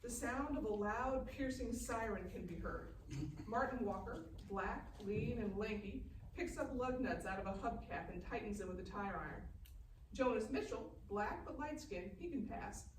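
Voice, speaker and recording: A woman's voice: mid-range voice, normal speed. A woman writer presenting at a live table read. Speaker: scriptwriter and presenter. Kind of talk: introduction and screenplay narration read aloud. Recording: noisy echoey room, distant mic.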